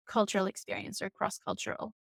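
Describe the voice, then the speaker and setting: A woman from Turkey whose first language is Turkish, a coach guest on a podcast, chatting casually and warmly with many fillers. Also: medium pitch, expressive, fast talker, creaky, slightly rough, no echo, clean studio sound. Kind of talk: casual interview chat.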